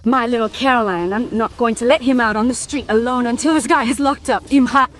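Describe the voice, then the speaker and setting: Woman acting angry protective mother, fairly high pitch, indignant, crime radio play, faint street ambience. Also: fairly quick, slight background noise, close to an everyday voice. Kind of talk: audio crime drama acting.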